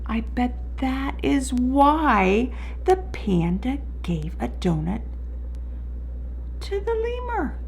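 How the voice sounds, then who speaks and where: A woman's voice: high-pitched, sing-song, very slow, vocal fry, darkish tone, a little hiss or hum. A woman reading a picture book aloud to young children in a sing-song manner, putting questions to her listeners. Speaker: preschool teacher reading aloud.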